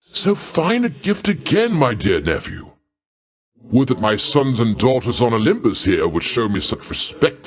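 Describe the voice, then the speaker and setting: An imperious ruler of the gods, a genial uncle with a grand sense of his own authority. His lowish voice sweeps dramatically up and down through formal, pompous phrasing. It is theatrical video game character work, avuncular and commanding. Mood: gracious, pleased.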